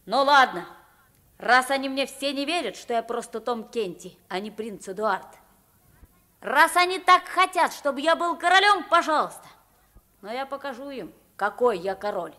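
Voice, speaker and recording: A woman's voice: high-pitched, moderate pace. An actress playing a naive, plain-spoken poor boy taken for a king, in an old radio drama. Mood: fed up, defiant. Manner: boyish, naive, expressive. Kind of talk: Soviet 1950s radio play acting.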